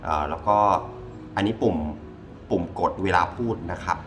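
A man walks through a gadget review casually. He talks informally, step by step, often repeating himself. There is clear ambient noise behind him. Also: low-pitched, moderate pace. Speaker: product reviewer. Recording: clearly noisy ambient background.

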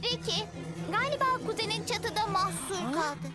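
A woman's voice: very high-pitched, expressive, moderate pace, over loud music. A woman voicing a sensible, caring childlike motorbike in a dubbed children's cartoon, earnest in manner. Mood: concerned. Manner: earnest, concerned.

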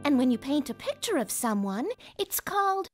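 A woman's voice: high-pitched, big pitch swings, normal speed. A woman voicing a cheerful museum guide who explains things to children in a cartoon, with music behind. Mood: cheerful, instructive.

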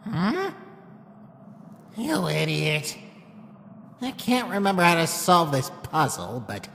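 A woman voicing a spiteful, manic little talking flower villain in an animated comic dub, childish, mocking and theatrical. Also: on the low side, takes it slowly, creaky, slight background noise, full character voice. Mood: sullen. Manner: mocking, sneering, theatrical, prone to shrieking. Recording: long reverb, music behind the voice.

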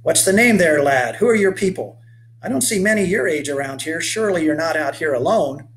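Weathered, hearty old boatman, put on by a male storyteller; folksy, old-fashioned speech, voice pitched up. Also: lively intonation, brisk, a bit bright, a little hiss or hum, poor audio. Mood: commanding, curious. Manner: folksy old-fashioned speech, calls the boy lad and son. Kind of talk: voiced character in a read-aloud story.

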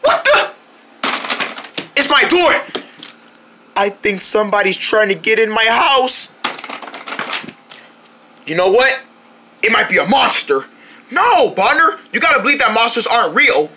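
A performer playing an excitable, easily frightened homeowner, exclaiming dramatically in an improvised monster story. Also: mid-range voice, unhurried, long pauses, background noise. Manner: exclaiming, dramatic, talking to self. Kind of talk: improvised play-acted story.

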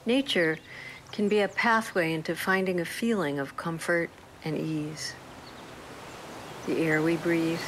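A woman leading a guided meditation in a slow, soothing, gentle manner with unhurried pauses. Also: fairly low pitch, a little hiss or hum.

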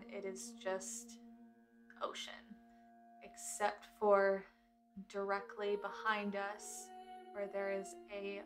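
Woman with an even voice, storytelling. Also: mid-range voice. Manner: measured, pausing between short phrases. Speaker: tabletop game player. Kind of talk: improvised descriptive storytelling.

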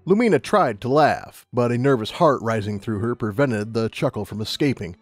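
A man's voice: mid-range voice, lively intonation, moderate pace, faint music behind. A man reading scripted fiction as an audiobook narrator.

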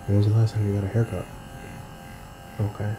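A soft-spoken man in his thirties playing a barber in an ASMR roleplay, murmuring short remarks close to the mic. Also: on the low side, monotone, a little fast. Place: small indoor room set up as barbershop. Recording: loud electric clipper buzz and handling noise. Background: electric razor buzz, barber tool sounds.

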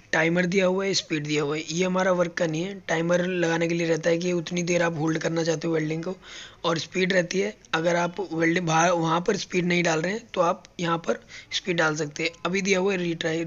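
A man's voice: on the high side, level tone, brisk, a bit bright, lo-fi sound. A man teaching robot welding step by step, explaining each button as he presses it on a factory floor. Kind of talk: hands-on tutorial.

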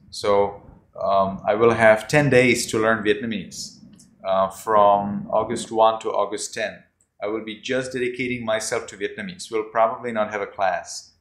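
A man's voice: fairly low pitch, slight background noise. A man teaching children over a video call, talking. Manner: rambling, repeats himself, uses "you know". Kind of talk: casual online class talk. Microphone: laptop mic on video call. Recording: echoey video call audio.

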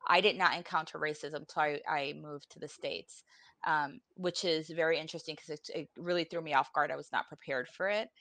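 A woman in her forties from Puerto Rico talks in a lowish, close and dry voice. She is informal, salty and blunt, with a weary edge, as a guest speaking personally on a panel. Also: fairly even intonation, a little fast, bright, forceful tone, no echo. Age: 40s. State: weary, emotionally exhausted. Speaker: podcast guest. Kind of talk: candid personal panel talk. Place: room at home, on a video call.

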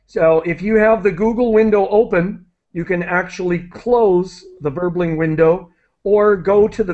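A man's voice: high-pitched, a little mellow. A middle-aged man wearing a headset, hosting an online English class and explaining things in a friendly way with many fillers and restarts. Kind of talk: live online English lesson. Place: small room indoors. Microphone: headset on a video call. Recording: dull lo-fi video call audio.

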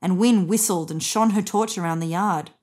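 A woman's voice: on the low side, moderate pace, a little mellow. A woman in her thirties reading a children's story aloud expressively as a narrator. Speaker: storybook reader. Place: indoors on a bed.

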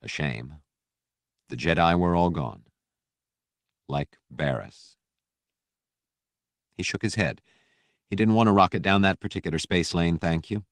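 A man reading a fiction audiobook as narrator, with measured, dramatic storytelling delivery. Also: low voice, dry recording.

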